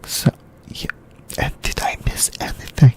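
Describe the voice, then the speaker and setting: A whispered voice speaks very slowly, as in an ASMR video. The delivery is halting and comes in short phrases, like a YouTuber softly talking through an anime discussion.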